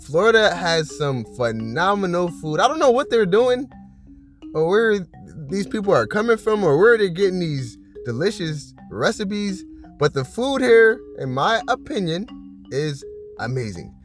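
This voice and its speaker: A man with a fairly high but lively, bouncing voice chats loosely through a list, chuckling often and trailing into "like" and "you know." His tone sounds bassy, as if he just woke up. Faint music plays underneath. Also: unhurried. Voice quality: deep and bassy from just waking up. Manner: rambling, laughs often, many fillers. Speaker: vlogger host. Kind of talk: casual chat and reading out list items.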